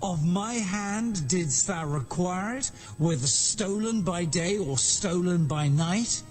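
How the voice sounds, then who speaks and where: A man playing aggrieved biblical shepherd, higher male voice, unhurried declamation, audio drama, music underneath. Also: expressive, a little mellow. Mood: indignant, aggrieved. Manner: declamatory, archaic diction. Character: aggrieved, indignant male shepherd pleading his case. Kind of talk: dramatized scripture acting.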